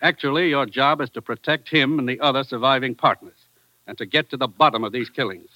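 Guy playing a brisk bossy commissioner in an old radio show, clipped orders, sharp voice, no room sound. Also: mid-range voice, no room sound, natural voice, low-quality recording. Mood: urgent, commanding. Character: brisk, authoritative commissioner handing out dangerous assignments. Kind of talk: old-time radio drama acting.

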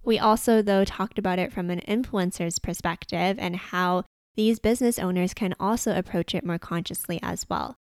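A woman's voice: lowish voice, moderate pace, clean tone, no room sound. A woman hosting a podcast, talking in an upbeat, enthusiastic way into a close mic as she interviews a guest. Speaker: podcast host.

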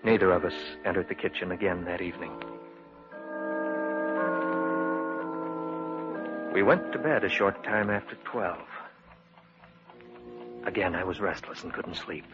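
An actor playing a haunted, earnest man who narrates his uncanny ordeal in a measured, serious way for an old radio drama. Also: low-pitched, steady tone, music in the background, natural voice. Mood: uneasy, recounting. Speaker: narrator and protagonist. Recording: muffled old radio broadcast.

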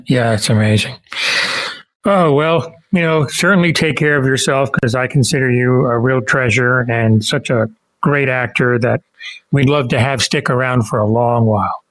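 Male podcast host, average pitch, casual interviewing style, agreeable and complimentary. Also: normal speed, a bit bright, poor audio.

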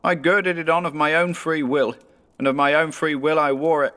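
A man's voice: fairly high pitch, steady tone, normal speed, fairly bright tone, in a large hall, voice pushed up, close to an everyday voice. A man voicing a tormented, remorseful ghost in a storybook reading, with grave, wailing declamation. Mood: grave, mournful. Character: tormented male ghost, mournful, remorseful and foreboding.

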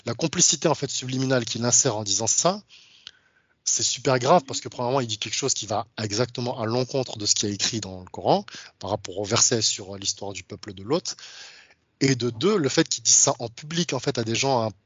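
A man's voice: medium pitch, brisk, breathy edge, no echo, dull audio. A man speaking as an interview guest in casual, argumentative conversation, often stumbling and restarting his phrases. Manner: argumentative, frequent stammered restarts; informal, addresses interviewer familiarly.

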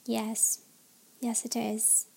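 Woman playing anxious female storybook creature, earnest, nervous, slowish, fairly high voice. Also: completely flat delivery, creaky, mellow, slightly rough, exaggerated voice. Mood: guilty.

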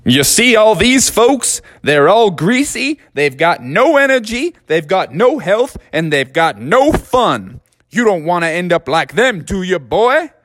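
A man voicing a bossy male chicken drumstick leader in a children's storybook, raising his voice and lecturing in a booming tone. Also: sing-song, measured pace, fairly bright tone, dry recording, exaggerated voice. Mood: stern.

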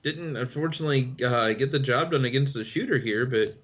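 A man's voice: medium pitch, brisk, a bit bright. A man co-hosting a casual podcast, hesitant and full of fillers. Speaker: podcast co-host.

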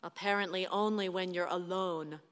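An actress in a radio play playing a weary, sharp-tongued young wife and mother. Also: lowish voice, fairly even intonation, normal speed, natural voice. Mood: tense, hurt. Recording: long hall reverb.

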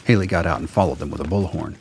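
A man with a very deep, husky voice reading scripted narration for a radio drama. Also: very fast, slight background noise.

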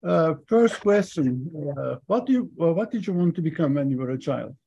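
A man's voice: fairly high pitch, expressive, normal speed, vocal fry, warm tone, slightly rough, dull audio. A man hosting an interview, reading a formal introduction of his guest and then asking casual questions. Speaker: interview host.

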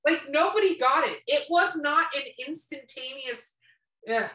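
Echoey, bright-voiced blunt woman. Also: sits high, lively intonation, measured pace, distant mic. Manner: blunt, heavily profane, teasing. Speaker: podcast co-host. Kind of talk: casual podcast banter.

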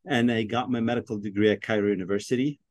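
Guest: man from Egypt, low voice, dry close sound, unhurried with lots of "um"s. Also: fairly even intonation, no echo. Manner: thoughtful, with many fillers and restarts. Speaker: podcast guest, pathologist. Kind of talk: casual interview talk.

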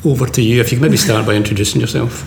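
Gravelly man interviewing. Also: mid-range voice, very fast. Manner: relaxed, chatty, rambling questions. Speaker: host, interviewer. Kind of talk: casual interview chat. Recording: echoey room, faint background noise.

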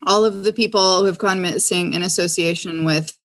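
A woman's voice: lowish voice, fairly even intonation, a little fast. A woman speaking in character as a player in a tabletop roleplaying game. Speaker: guest player in an audio drama game.

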